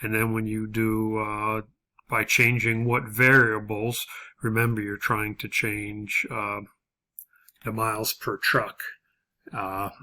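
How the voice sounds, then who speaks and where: A man giving a step-by-step tutorial as an instructor, speaking haltingly with frequent pauses and hesitations. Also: fairly low pitch, little pitch movement, pushed and bright. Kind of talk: spreadsheet tutorial, explaining step by step.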